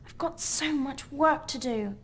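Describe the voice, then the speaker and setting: A woman's voice: high-pitched, a little slow, background noise. A woman playing a bossy, earnest schoolgirl witch with a British accent in a video game. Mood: brisk, reproving.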